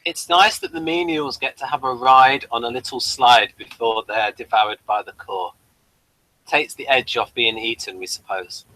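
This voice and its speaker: A man's voice: mid-range voice, sing-song, moderate pace, gravelly, pushed and bright, dry recording, poor audio. A man co-hosting a casual TV review chat, making understated, somewhat hesitant remarks. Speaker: co-host of a TV review show.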